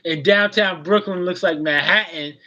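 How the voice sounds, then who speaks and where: A man's voice: high voice, moderate pace, sharp tone, lo-fi sound. A man in his forties from Queens chatting as a guest on a video call. He is easygoing and keeps adding "you know what I mean" to his sentences. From Queens, New York. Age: 40s. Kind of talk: casual chat.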